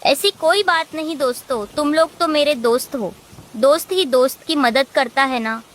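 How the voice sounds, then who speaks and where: A woman's voice: high voice, moderate pace, lightly acted, poor audio. A woman voicing a kind, clever female sparrow with a friendly, assured manner in a children's cartoon story. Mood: reassuring. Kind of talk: animated children's moral story dialogue.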